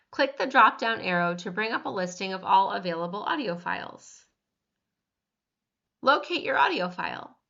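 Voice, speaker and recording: A woman reading scripted step-by-step instructions as a tutorial narrator, measured and clearly enunciated. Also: medium pitch, pushed and bright, dull audio.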